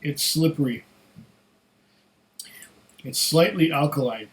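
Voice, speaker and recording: A man with a slightly hoarse voice giving a casual solo tasting review, musing in an unhurried, savoring way and talking to himself. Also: on the high side. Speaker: whisky reviewer.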